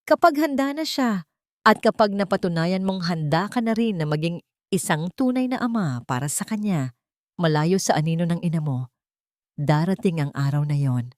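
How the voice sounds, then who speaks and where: A woman voicing a forgiving but resolute mother and wronged wife, speaking softly and firmly in measured phrases in a romance audio story. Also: lowish voice, animated, dry recording, clean studio sound, natural voice. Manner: soft, measured, firm.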